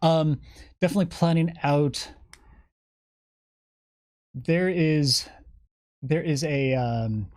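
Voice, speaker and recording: Animated man chatting in a dry, close recording. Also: fairly high pitch, slow pace, pauses often, dry recording. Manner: animated, jokey, acts out imagined dialogue. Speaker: guest game developer. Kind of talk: lively casual interview chat.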